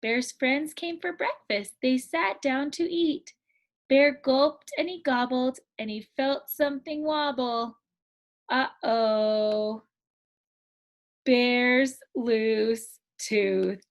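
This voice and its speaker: A woman teacher reading a picture book aloud to children in a clear storytime manner. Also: high-pitched, extremely slow.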